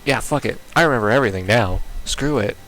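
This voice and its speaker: A man's voice: medium pitch, animated, fast talker, a bit bright. A man reading visual novel text aloud and reacting casually, with a bemused, sweary manner. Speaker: gaming video player. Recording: clearly noisy background.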